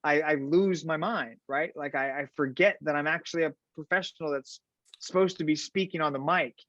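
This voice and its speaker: A man, a fight commentator, chatting in an interview and telling lively stories, tagging his sentences with "right?". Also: fairly high pitch, a little fast, no room sound. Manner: animated storyteller, fillers like "right?". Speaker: podcast guest, fight commentator. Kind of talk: casual interview chat. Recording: dull lo-fi sound.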